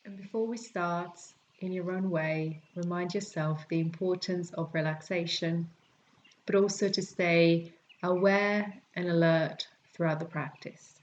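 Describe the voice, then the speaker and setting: Low-pitched woman guiding relaxation over forest birdsong. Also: lo-fi sound, a little hiss or hum. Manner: gentle, soothing, unhurried instruction with long pauses. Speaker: yoga nidra teacher. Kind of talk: guided relaxation meditation. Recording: birdsong and forest sounds behind voice. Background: calming forest nature sounds with birds.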